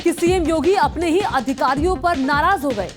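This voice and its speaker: A woman's voice: high-pitched, normal speed. A woman anchoring the news, presenting headlines in a dramatic style. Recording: news music under the voice. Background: prominent music.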